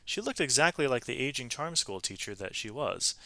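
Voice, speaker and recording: Bright-voiced man reading a storybook aloud. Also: medium pitch, expressive, fairly quick, gravelly, no echo. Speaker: read-aloud narrator, teacher reading to students. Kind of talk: expressive storybook read-aloud with brief asides to listeners.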